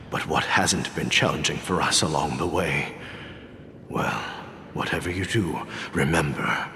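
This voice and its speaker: A man's voice: a bass voice, pushed and bright, big-room sound, slight background noise, exaggerated voice. A man voicing a kindly old veteran space wanderer, bold and hopeful, in a video game cutscene. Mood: soft, resolute.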